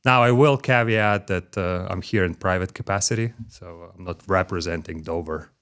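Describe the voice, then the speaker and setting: A middle-aged man from Ukraine with a Ukrainian accent, speaking as an interview guest in a measured way with frequent pauses and fillers, recorded in a corporate headquarters office. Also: deep voice, a bit bright, smooth. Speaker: guest, corporate development executive. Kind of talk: conversational interview.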